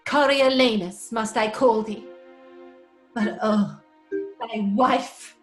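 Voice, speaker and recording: A woman's voice: fairly high pitch, fairly even intonation, takes it slowly, soft background music. A woman playing a proud noble Roman mother in a stately, exalted and declamatory Shakespearean style. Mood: exalted pride. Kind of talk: Shakespearean stage acting.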